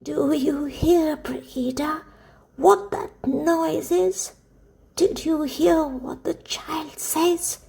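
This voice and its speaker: A woman voicing a frail, pious old grandmother with a trembling, breathy voice and slow, drawn-out exclamations, in a storybook reading. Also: high-pitched, fairly even intonation, mellow, voice pushed up, full character voice. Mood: amazed, grateful.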